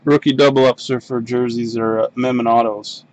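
A man's voice: mid-range voice, steady tone, poor audio. A Canadian man hosting a live card break, calling out the cards at his desk in an easygoing, mumbling way. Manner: unhurried, mumbling card names while opening packs. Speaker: box break host. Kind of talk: casual live-stream card break commentary. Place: desk in a home card room.

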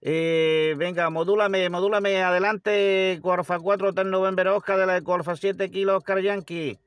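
A man's voice: sits high, little pitch movement, moderate pace, a bit bright, clear, resonant tone. A man with an accent from Spain chatting casually as an amateur radio operator inside a car. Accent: Spanish from Spain. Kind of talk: casual ham radio contact.

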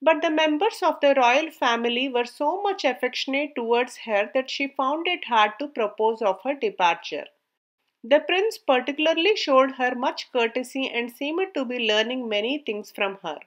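A woman narrates a scripted story in a high-pitched voice. She reads aloud with care, keeping a measured storyteller's delivery throughout. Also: animated, highs cut off.

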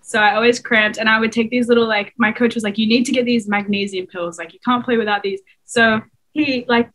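A woman's voice: a little high, fairly even intonation, fairly quick, a bit bright. Young Australian woman, chatty. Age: young adult. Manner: casual, chatty, lots of fillers. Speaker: college basketball player. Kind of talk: video call interview. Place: small room, indoors.

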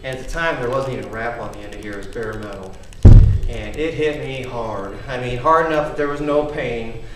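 A man's voice: medium pitch, brisk, very noisy. A man in his thirties, casually rambling as he demonstrates homemade gym gear and tells a story, often checking in with "okay?". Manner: rambling, chatty, keeps asking "okay?". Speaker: home fitness vlogger. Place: large wood-paneled garage at night. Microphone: camera mic from across the room. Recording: echoey garage, voice from far off.

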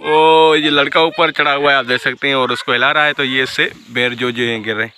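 A man's voice: medium pitch, fairly even intonation, brisk, crowd noise. A man in his thirties from Pakistan vlogging casually outdoors in a village, walking with a phone held at arm's length and teasing his friends. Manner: chatty, walks and points out surroundings, teases friends. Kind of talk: casual vlog narration. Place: outdoors in a village among fields. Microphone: phone held at arm's length, selfie framing.

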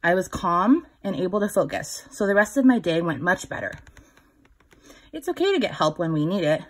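A woman reading a picture book aloud to young children in a gentle, instructive way, with a slightly husky voice. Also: fairly low pitch, sing-song. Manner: gentle, instructive, pausing to put questions to the child listener. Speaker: children's story reader.

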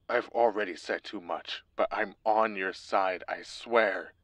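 A desperate, wary corporate lawyer, acted by a man in an audio roleplay. His voice is low and recorded dry and close, and he speaks with guarded, pleading urgency as if on a secret call. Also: a bit bright, no echo. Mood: urgent, guarded. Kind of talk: audio roleplay acting, one side of a phone call.

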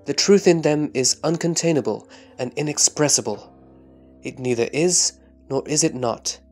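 A man playing a reverent disciple answering his master in measured, scripted scripture drama. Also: fairly high pitch, a little mellow, faint music behind, natural voice. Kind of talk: scripted audio drama recitation.

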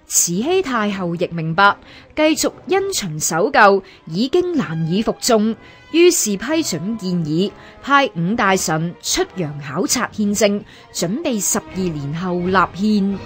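A woman with a Hong Kong Cantonese accent reads scripted history narration in formal, measured phrasing as a radio narrator. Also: medium pitch.

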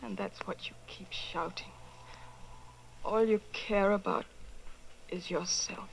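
A woman's voice: medium pitch, fairly even intonation, takes it slowly, gravelly, a little hiss or hum, poor audio. An actress playing a broken, disillusioned young woman in an old-time radio drama. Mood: bleak, resigned.

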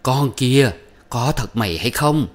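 A man's voice: medium pitch, animated, unhurried, pitched above the performer's own voice, lightly acted. A man voicing a furious, domineering older mother-in-law who scolds and wails melodramatically. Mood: raging, wailing. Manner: scolding, wailing, self-pitying.

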